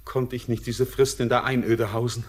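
An actor playing a haunted young miner, torn and feverish, speaking in a hushed, anguished manner in a classic radio play. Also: male voice, mid-range voice, little pitch movement, normal speed, close to an everyday voice. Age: young adult. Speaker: Elis, the miner.

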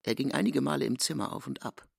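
A man's voice: mid-range voice, quick pace, vocal fry, no echo, clean studio sound. A man narrating a literary drama from a script.

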